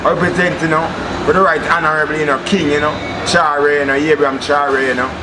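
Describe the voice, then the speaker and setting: A man's voice: fairly high pitch, level tone, quick pace. A man with a Jamaican accent giving an impromptu address to camera, circling back on repeated catchphrases in a chanting cadence. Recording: noisy outdoor street with vehicles.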